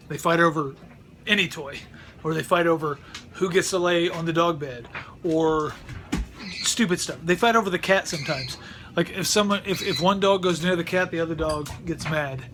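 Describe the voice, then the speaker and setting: A man's voice: fairly high pitch, noisy background. A middle-aged man talking casually at home for his vlog, rambling and often repeating words as he restarts sentences. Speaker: vlogger. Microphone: close mic.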